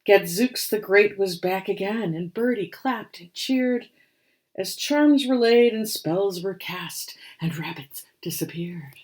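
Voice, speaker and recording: Woman doing dramatic storytime reading, rhyming picture book, kinda theatrical, middle pitch. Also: takes it slowly, a little hiss or hum. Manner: expressive, theatrical delivery. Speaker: librarian and storyteller, host.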